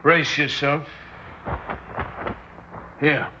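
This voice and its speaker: Blunt officer, sharp voice. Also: male voice, fairly high pitch, little pitch movement, very slow, highs cut off, a little hiss or hum, heavily acted. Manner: blunt, matter-of-fact. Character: male police colonel, blunt, businesslike. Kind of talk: 1960s radio drama acting.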